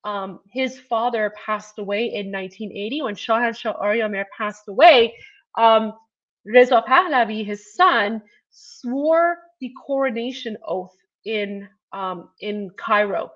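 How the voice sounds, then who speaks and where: A woman's voice: on the high side, measured pace, fairly bright tone. Passionate woman in her forties, lo-fi sound. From Iran. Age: 40s. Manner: passionate, emphatic, repeats herself with many fillers. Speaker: show host. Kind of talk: casual commentary to camera.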